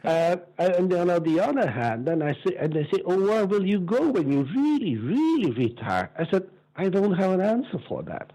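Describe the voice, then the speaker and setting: A man's voice: sits high, sing-song, moderate pace, warm tone, low-quality recording. A man in his sixties with a Belgian accent, telling stories emphatically and with strong opinions as an interview guest. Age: 60s. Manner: emphatic, opinionated, repeats words. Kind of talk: animated conversational storytelling.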